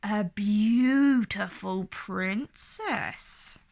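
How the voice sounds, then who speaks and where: A woman voicing a big, hungry male dragon in a children's storybook, speaking in a polite, measured, pompous and theatrical way. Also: mid-range voice, vocal fry, a little mellow. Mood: gleeful.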